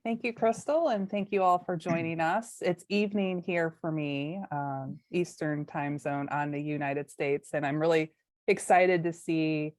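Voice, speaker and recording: A woman's voice: deep voice, unhurried, a little mellow, no room sound, studio quality. A woman speaking for a charity board on a video call, earnest, with many uhs and ums. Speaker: foundation board member. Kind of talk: short organization overview on a webinar. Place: her home in the evening. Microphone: computer mic on a video call.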